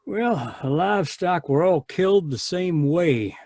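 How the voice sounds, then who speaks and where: An actor playing a folksy, easygoing country sheriff in an old-time radio drama, hemming and hesitant. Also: male voice, high-pitched, lively intonation, darkish tone, no echo, clean studio sound, heavily acted. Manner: halting, hemming.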